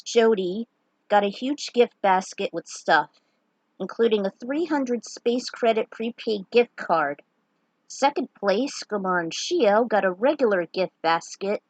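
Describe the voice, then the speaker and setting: A woman reading her own fan fiction aloud as a storyteller, earnest and unhurried, with small stumbles. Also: mid-range voice, no echo, low-quality recording.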